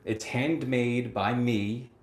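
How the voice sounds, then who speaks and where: A man's voice: mid-range voice, fairly even intonation, slow pace, echoey room. A man in his late twenties hosting a casual livestream, answering viewer comments, laughing often and tagging his sentences with "right?". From United States. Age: 20s. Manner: laughs often, chatty, repeats phrases, tag "right?". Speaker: livestream host.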